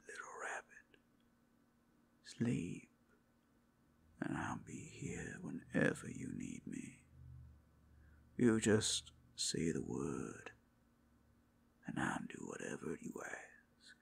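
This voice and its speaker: A man playing a tender, possessive male werewolf lover in an intimate ASMR roleplay, murmuring softly in a low, breathy, gravelly voice. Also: huge pitch swings, heavily acted. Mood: soothing, tender. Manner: hushed, slow, soothing murmur.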